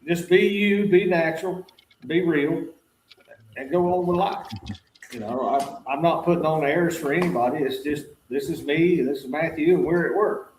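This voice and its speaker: Country guy spinning plain spoken stories, kinda high voice, roomy echo. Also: normal speed, a little mellow, a little hiss or hum. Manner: folksy, plain-spoken storyteller. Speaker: guest. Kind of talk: casual chat.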